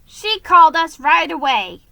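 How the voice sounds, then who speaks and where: Cross storybook fire mouse, stern and scolding, pushed high and loud played by a woman. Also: sing-song, unhurried, gravelly, full character voice. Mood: gruff advice. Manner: stern, forceful.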